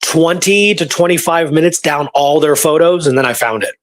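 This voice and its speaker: A man's voice: a little high, normal speed. A man telling stories in a casual, informal, colloquial way, as a guest researcher in a conversation.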